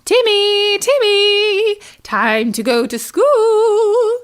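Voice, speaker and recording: A woman's voice: very high-pitched, expressive, drawn-out words, pitched above the performer's own voice, full character voice. A woman voicing a bossy, stern mother frog with a scolding, no-nonsense manner. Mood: calling out.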